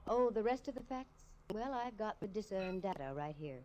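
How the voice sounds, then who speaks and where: A woman's voice: medium pitch, lively intonation, normal speed, loud music behind the voice, lightly acted. A woman playing a wisecracking private detective, narrating in breezy, slangy 1950s radio-drama style. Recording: noisy old radio recording.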